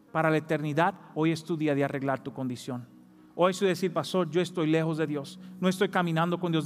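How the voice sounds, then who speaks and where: A man's voice: a little high, big-room sound. A man preaching a sermon as pastor, fervent, rising to emphatic peaks. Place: church.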